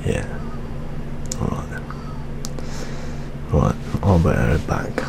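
A young man with a British accent playing a weary, fatherly police detective in a hushed, partly whispered ASMR roleplay. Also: very deep voice, very animated, slow pace, creaky, noisy background, full character voice. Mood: hushed, businesslike. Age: young adult.

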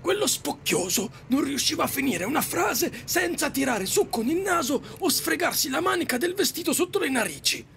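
A man's voice: very high-pitched, sing-song, moderate pace, gravelly, hardly any pauses, a bit bright, a little hiss or hum, full character voice. A man acting an exasperated, foul-mouthed taxi driver in an audio drama, ranting theatrically. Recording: faint music behind.

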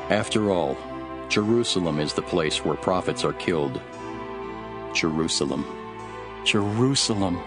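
Male actor, solemn male teacher-prophet, low voice, very varied intonation, earnest preaching, scripture drama. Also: natural voice. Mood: sorrowful warning. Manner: measured, emphatic, preaching. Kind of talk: dramatized scripture reading over music. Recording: music behind the voice.

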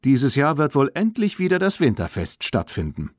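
A man's voice: medium pitch, huge pitch swings, normal speed, no room sound, pitched above the performer's own voice. Man acting an easygoing young brother, breezy, casual, storybook dialogue, dry recording. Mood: chatty.

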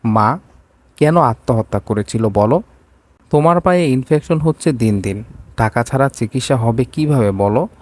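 A man's voice: mid-range voice, animated, moderate pace, a little mellow, natural voice. A man voicing a desperate, indignant young woman in a storybook romance, pleading and reproachful. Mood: distressed.

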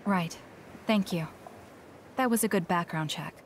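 A woman's voice: on the low side, measured pace, slight background noise, natural voice. Woman playing a cool, blunt young female soldier. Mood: matter-of-fact. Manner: curt, cool, matter-of-fact. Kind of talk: anime-style video game dubbing.

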